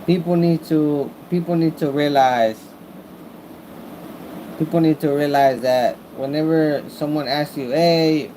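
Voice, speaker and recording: Slow-talking American man with a halting delivery. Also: fairly high pitch, fairly even intonation, mellow, slight background noise, rough recording. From Mexican-American, born in the United States. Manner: repetitive, halting, searching for words. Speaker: vlogger. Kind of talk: casual rambling opinion talk.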